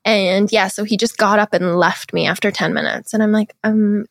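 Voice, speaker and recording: A young woman is recounting her dating mishaps as a podcast guest. She tells them in an animated, giggly way. Her voice is recorded close and dry, with no room sound. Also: mid-range voice, normal speed, no echo. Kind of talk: casual interview chat.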